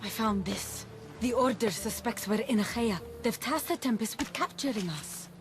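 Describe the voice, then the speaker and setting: A woman's voice: on the high side, expressive, normal speed, music in the background, natural voice. A woman playing a resolute young hunted warrior of ancient Greece in a video game cutscene. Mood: urgent.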